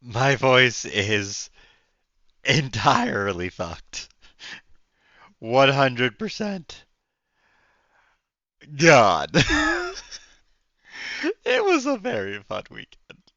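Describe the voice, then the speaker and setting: A man with a hoarse, nearly gone voice, chatting casually and effusively on a game livestream. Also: mid-range voice, wildly swooping pitch, drawn-out words, pauses often, bright, forceful tone, no echo, muffled recording. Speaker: game streamer.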